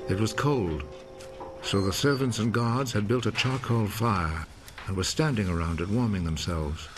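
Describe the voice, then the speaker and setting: A low-pitched male narrator reads scripture for a film, measured and solemn. A full musical score plays clearly behind his voice. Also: over music.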